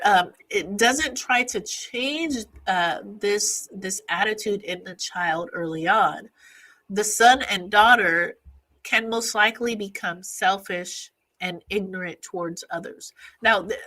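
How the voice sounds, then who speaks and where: A woman therapist explains family relationships in an educational talk. Her voice is bright and clear-edged but stuffy from allergies. She speaks haltingly, often pausing on "uh" and restarting her sentences. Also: medium pitch. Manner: halting, with frequent "uh" fillers and restarts.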